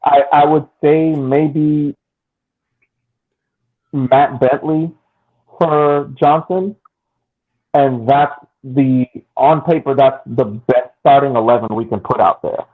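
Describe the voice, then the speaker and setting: This is a man speaking slowly and haltingly, often restarting his sentences. His voice comes through a thin, phone-like line. His tone is downbeat as he weighs things up. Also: medium pitch, fairly even intonation. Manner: halting, repeats words, downbeat. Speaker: podcast co-host. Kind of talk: casual sports podcast chat.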